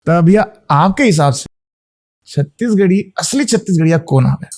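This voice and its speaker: A man hosts a podcast interview. His voice sits fairly high, and he asks his questions in an effusive, admiring way. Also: normal speed. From Rajasthan, India. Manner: effusive, flattering introductions. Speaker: podcast host.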